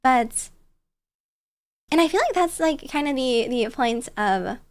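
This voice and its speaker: A woman's voice: high-pitched, animated, slow pace. A woman streamer telling stories casually in a bubbly, playful, cutesy way. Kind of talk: casual storytelling chat on a livestream.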